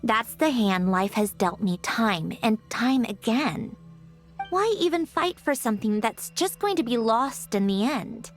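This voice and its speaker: A woman's voice: on the high side, expressive, unhurried, soft background music. A woman playing a melancholy, guarded young woman in a video game, wry and resigned, with sighs and a weariness of loss. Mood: bitter, resigned. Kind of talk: dramatic video game voice acting.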